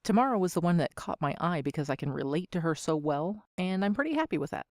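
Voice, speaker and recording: A woman's voice: on the low side, expressive, fast, darkish tone, no echo, clean studio sound. A woman in her thirties answering interview questions as an amateur voice actor, with a joking, self-deprecating manner. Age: 30s.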